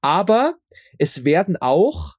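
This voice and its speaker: A man's voice: fairly high pitch, big pitch swings, slow, a little mellow, breathy edge, no echo, clean studio sound. A man speaking as a guest in a casual interview, rambling and often repeating his words as he explains his audio drama work.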